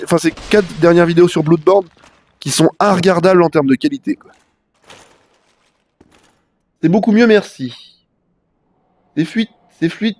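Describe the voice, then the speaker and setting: A man's voice: sits high, darkish tone, no room sound. A young man with a head cold chatting casually and rambling in slang while livestreaming a video game. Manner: rambling, slangy, frequent fillers. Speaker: live-streaming gamer.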